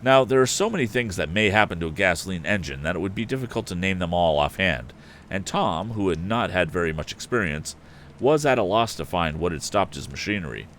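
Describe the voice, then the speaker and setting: Man, deep voice, lively intonation, storytelling host, expressive book reading, faint noise behind. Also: brisk. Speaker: storytelling stream host, reader. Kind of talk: expressive book narration with casual asides. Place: indoor live stream.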